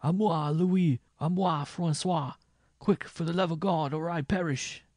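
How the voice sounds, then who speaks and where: Storybook minstrel, played by a man: bearded medieval braggart, boisterous, theatrical, gravel in the voice. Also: medium pitch, steady tone, moderate pace, a little mellow, dry recording, clean studio sound, natural voice. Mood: terrified, desperate.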